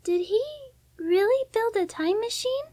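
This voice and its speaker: A woman's voice: very high voice, measured pace, mellow, no echo, clean studio sound. A woman voicing a sweet, innocent, childlike girl in an anime visual novel fan dub, cutesy and drawn out. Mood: innocent, curious.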